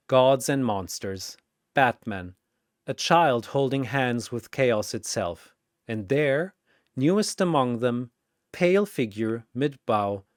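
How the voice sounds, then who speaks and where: A man's voice: medium pitch, no room sound, clean studio sound. A man narrating a scripted fantasy story dramatically, in theatrical, punchy phrases.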